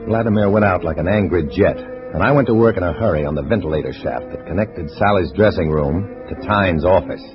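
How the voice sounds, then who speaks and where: A man's voice: sits low, brisk, few pauses, over music, natural voice. A man playing a cool, wry undercover agent, narrating tersely in an old radio drama on a dull recording. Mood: dry, tense. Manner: terse, dry, hard-boiled. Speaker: narrator and lead actor. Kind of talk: 1950s radio drama acting, scripted narration.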